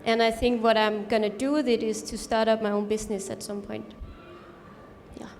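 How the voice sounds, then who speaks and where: Flat-voiced young Danish woman. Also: on the high side, normal speed, a little hiss or hum. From Denmark. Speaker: student presenter. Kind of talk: self-introduction to an audience. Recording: reverberant hall, faint ambience.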